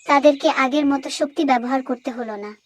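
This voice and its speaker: A woman narrating a moral story for children in a clear, didactic storytelling manner. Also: high voice, quick pace.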